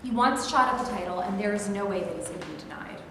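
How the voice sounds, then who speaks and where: An actress reading the part of an eager sportswriter, earnest and persuasive. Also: female voice, mid-range voice, lively intonation, fast talker, fairly bright tone, a little hiss or hum, lightly acted. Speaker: actor in a staged reading. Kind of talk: staged reading. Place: large rehearsal studio. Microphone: far camera. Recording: echoey studio from far off.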